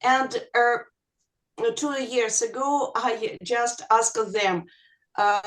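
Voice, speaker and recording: A woman with a Russian accent speaks casually in an online group. Her voice sits fairly high and moves slowly. She is hesitant and giggly as she searches for words. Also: fairly bright tone, low-quality recording. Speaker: English teacher. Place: online video call.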